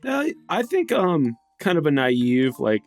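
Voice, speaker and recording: Animated male tabletop game player. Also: a little high, unhurried, soft background music. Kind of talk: casual chat.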